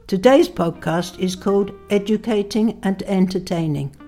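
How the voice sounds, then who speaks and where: An older woman introduces and interviews her guest in a measured, even manner. Her pitch sits in the middle, and faint guitar music plays under her voice. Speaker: podcast host. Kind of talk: interview hosting.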